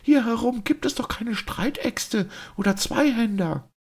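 Hysterical old duchess, shrill and high, voiced by a male narrator. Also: animated, unhurried, vocal fry, husky, full character voice.

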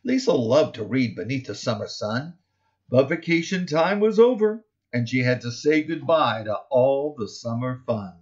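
A middle-aged man reading his own children's picture book aloud, expressively and deliberately, stressing key words in the rhymes. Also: medium pitch, sing-song, a little mellow, highs cut off. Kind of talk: expressive children's storybook narration.

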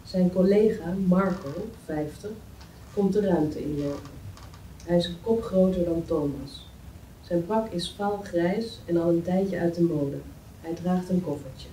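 A woman's voice: lowish voice, unhurried, mellow. A woman reading screenplay stage directions aloud as scripted narration at a table read in a meeting room. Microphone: far camera. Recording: roomy, distant mic, faint noise.

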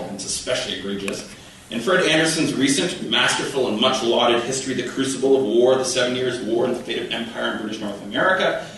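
A man in his thirties from Ontario with a Canadian accent, reading a prepared history lecture at a podium, with a measured, formal delivery and occasional dry asides. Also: medium pitch, fairly bright tone, slight background noise. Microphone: podium microphone, recorded from the room. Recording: echoey hall, distant from mic.

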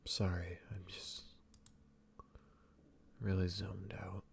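A man playing an anxious, protective werewolf boyfriend in an intimate, hushed ASMR roleplay, speaking haltingly and often slipping into a whisper. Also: low-pitched, little pitch movement, creaky, a little hiss or hum, exaggerated voice. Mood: afraid, vulnerable.

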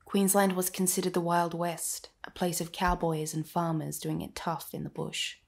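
Low-pitched woman narrating a documentary podcast. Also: little pitch movement, moderate pace, gravelly.

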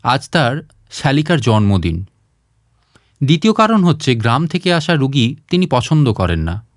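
A man reading a short story aloud as a scripted, expressive narration. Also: medium pitch, normal speed, no echo, clean studio sound. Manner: expressive, acts out dialogue.

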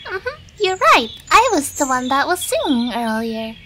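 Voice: sits high, big pitch swings, measured pace, sharp tone, exaggerated voice. An actor voicing a carefree, cheerful little butterfly in a bubbly cartoon manner, stretching out happy phrases. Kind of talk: children's cartoon story acting. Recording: noisy birdsong ambience under voice. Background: chirping birds.

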